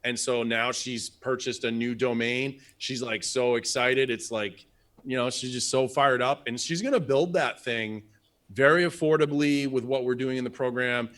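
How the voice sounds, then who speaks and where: A man's voice: medium pitch, fairly even intonation, normal speed, fairly bright tone. Enthusiastic man chatting on a live stream. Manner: enthusiastic, rambling with restarts. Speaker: business coach, co-host. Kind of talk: casual live stream chat.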